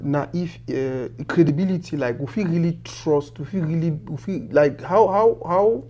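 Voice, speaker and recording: Teasing host, higher voice. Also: male voice, moderate pace, warm tone. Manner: teasing, eager, many affirming hums. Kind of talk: casual interview hosting.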